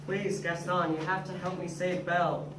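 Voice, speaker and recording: A woman's voice: on the low side, flat delivery, normal speed, close to an everyday voice. Female as pleading elderly father; amateur stage read, roomy sound with background noise. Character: flustered, pleading old inventor father. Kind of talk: amateur readers theater in drama class. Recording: noisy echoey room, distant mic.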